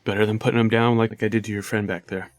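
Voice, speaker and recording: A terse, guarded male adventurer acted by a man at a game table speaks in short lines. The voice is fairly low and recorded dry, with faint music behind. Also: flat delivery, fast talker, no echo, close to an everyday voice.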